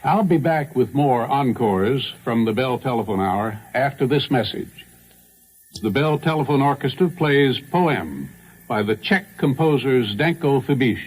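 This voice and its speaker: A man speaks as the host of a radio music program. His voice sits at a medium pitch. He delivers scripted introductions and narration for the broadcast. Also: a little mellow, poor audio.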